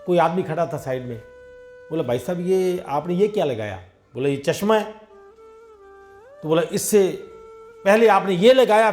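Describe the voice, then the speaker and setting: A man giving a devotional sermon, telling everyday parables and acting out the dialogue in a conversational way. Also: on the high side. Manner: conversational storytelling, acts out dialogue in his own voice. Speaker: satsang preacher.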